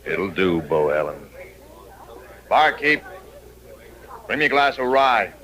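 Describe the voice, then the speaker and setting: An actor playing a cold, self-assured gunfighter sheriff in a radio western, speaking tersely and deliberately with quiet menace. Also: male voice, fairly low pitch, very animated, full character voice. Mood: cold warning. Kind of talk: 1950s radio western acting.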